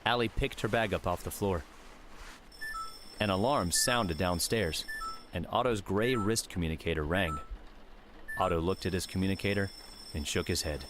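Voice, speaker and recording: A man narrating an audiobook in a steady, measured reading. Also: low voice, noisy background.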